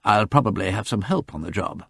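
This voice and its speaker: A man voicing a reserved male police detective who speaks quietly and dryly. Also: lowish voice, lively intonation, brisk, gravelly, slightly rough, no echo, clean studio sound, exaggerated voice.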